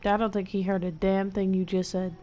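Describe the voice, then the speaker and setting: A woman's voice: mid-range voice, little pitch movement, brisk, mellow, slight background noise. Slangy, wry woman streaming a game. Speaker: gameplay streamer. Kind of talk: casual live gameplay commentary.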